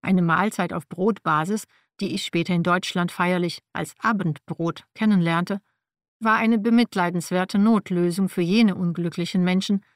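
A woman's voice: lowish voice, steady tone, normal speed, no echo, studio quality. A woman whose first language is Slavic reads a personal nonfiction text aloud in a wry, matter-of-fact way. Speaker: narrator.

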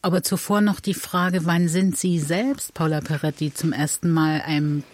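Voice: mid-range voice, normal speed, a little mellow. A radio interviewer asking precise, composed questions and steering the conversation.

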